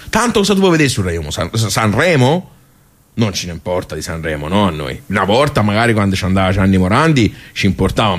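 Low-voiced, rambling old Tuscan club regular. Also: male voice. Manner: colloquial, rambling, halting word searches, rhetorical asides. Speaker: stage actor. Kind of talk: theatrical radio soliloquy, conversational.

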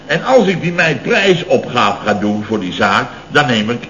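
An actor playing an indignant, rambling middle-aged contractor boss in a radio comedy, full of exclamations and rhetorical questions. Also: male voice, mid-range voice, big pitch swings, moderate pace, fairly bright tone. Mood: self-satisfied. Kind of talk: 1970s Dutch radio comedy acting. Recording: dull, noisy old radio recording.

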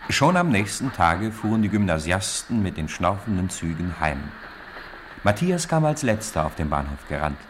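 Ein Mann trägt als Erzähler eines Hörspiels einen literarischen Text vor. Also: male voice, deep voice, big pitch swings, moderate pace, a little hiss or hum. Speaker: narrator. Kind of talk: scripted storybook narration for radio.

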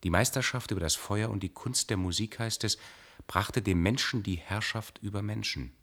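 An actor playing an erudite, keen male experimenter with sound in a science-fiction radio play, speaking in a deliberate, precise, lecturing way. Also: lowish voice, fairly bright tone, roomy sound. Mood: musing.

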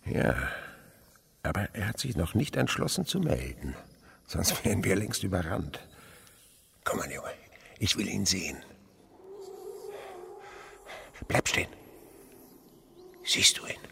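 An actor playing an older naturalist uncle in a radio play, eager and tender, explaining with hushed wonder. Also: male voice, deep voice, big pitch swings, a little slow, gravelly, pauses often, breathy edge, full character voice. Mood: hushed suspense. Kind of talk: German radio play acting.

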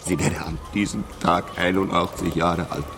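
A man's voice: sits low, steady tone, normal speed, heavy background noise. An actor playing a scornful showman in a radio drama, mocking and emphatic as he debunks trickery. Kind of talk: German radio drama acting.

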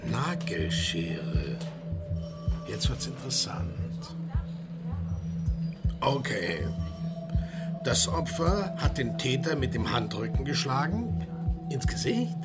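Ein Schauspieler spielt in einem Hörspiel einen knappen, nachdenklich fragenden Kriminalkommissar. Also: male voice, medium pitch, big pitch swings, slow pace, pauses often, loud music behind the voice. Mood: curious, pensive. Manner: terse, questioning. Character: terse, pensive male police detective. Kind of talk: radio drama acting.

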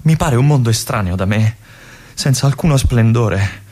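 An actor playing a restless young army lieutenant in a radio monologue, confiding and brooding in halting asides. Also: male voice, mid-range voice, lively intonation, a little mellow, close to an everyday voice. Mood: uneasy. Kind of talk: theatrical monologue.

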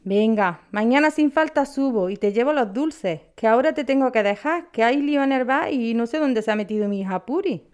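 A woman's voice: on the high side, lively intonation, fairly quick, few pauses, a little mellow, clear, resonant tone, lightly acted. A woman playing a practical, caring, teasing middle-aged bar woman in a Spanish audio drama, with a Spanish accent. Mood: amused, brisk.

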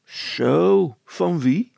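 A man voicing a suspicious, grumbling river skipper in an audiobook story, calling out loudly. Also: a little high, very slow, vocal fry, no room sound, voice pushed up, heavily acted. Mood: scornful, suspicious. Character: suspicious, gruff Dutch river barge skipper, shouting.

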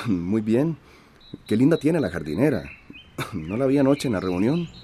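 Swooping, bashful, courtly village suitor. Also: male voice, lowish voice, very animated, measured pace, warm tone. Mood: bashful, flattering. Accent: Central American. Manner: hesitant, throat-clearing, ingratiating. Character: shy but persistent male village suitor, courtly and flattering. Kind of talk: radio drama acting. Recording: faint outdoor ambience noise.

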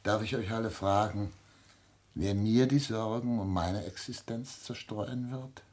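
An actor playing an aging, sardonic family patriarch in a German radio play, speaking with formal, probing irony. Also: male voice, fairly low pitch, measured pace, a little mellow, close to an everyday voice. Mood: wounded, challenging. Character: imperious aging patriarch, sardonic privy councillor and father.

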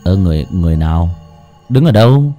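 A man's voice: a bass voice, fairly quick, husky, faint music behind, pitched below the performer's own voice. A man doing a snappish, irritable husband. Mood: alarmed. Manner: snappish, scolding, loud.

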